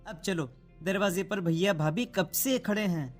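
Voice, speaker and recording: A woman's voice: lowish voice, measured pace, natural voice. A woman voicing a cheeky, matter-of-fact little brother in a cartoon horror story. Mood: reassuring. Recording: music under the voice.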